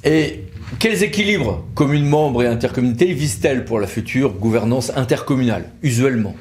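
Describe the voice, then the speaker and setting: A man interviews panel guests. His pitch is mid-range, and he puts his questions in formal, prepared wording. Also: expressive, a little fast, darkish tone, a little hiss or hum.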